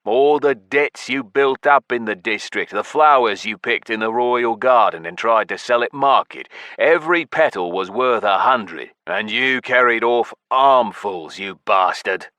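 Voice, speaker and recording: A man voicing a gruff, menacing male centurion who growls and hisses his threats, in an audiobook. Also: on the low side, expressive, a little slow, pushed and bright, no room sound. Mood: accusing.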